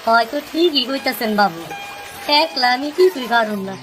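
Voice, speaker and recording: Woman as young cartoon fisherman. High, plaintive, complaining; rural Bengali dialect, light music behind. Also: lively intonation, moderate pace, fairly bright tone. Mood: dejected. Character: frustrated, despairing younger brother, poor village fisherman. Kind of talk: cartoon story acting.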